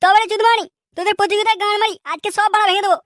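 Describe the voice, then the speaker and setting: A man voicing a brash, foul-mouthed young male cartoon troublemaker, threatening and hot-headed, with a pitched-up voice. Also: fairly even intonation, very fast, over music. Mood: furious. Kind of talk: comedy cartoon dubbing.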